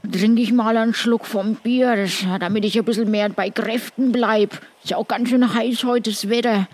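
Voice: mid-range voice, fairly even intonation, normal speed, a little hiss or hum, close to an everyday voice, low-quality recording. A person voicing an eager, naive, beer-drinking strongman sidekick with colloquial exclamations in an improvised role-play. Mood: boastful, helpful. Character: Kalle, strong beer-drinking simple-hearted sidekick, uneasy but eager.

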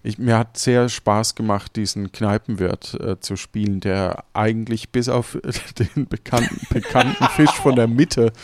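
A man's voice: lowish voice, a little slow, few pauses, background noise. A man in casual conversation as a podcast co-host, thinking aloud and rephrasing as he goes. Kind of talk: casual chat.

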